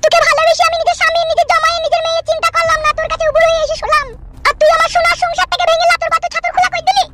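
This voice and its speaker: A woman's voice: very high voice, flat delivery, rapid-fire. A woman voicing a scorned, vengeful, foul-mouthed village mistress in a cartoon melodrama. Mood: wounded, bitter. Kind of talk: amateur Bengali cartoon melodrama. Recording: loud music under the voice.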